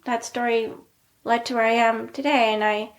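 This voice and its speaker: A middle-aged woman speaking softly and casually, her pitch staying in a narrow, level band. She leans on filler words as she talks things through with a friend. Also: moderate pace. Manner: soft-spoken, many "like" fillers, steady even delivery. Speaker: podcast co-host, pediatrician. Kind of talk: casual conversational chat.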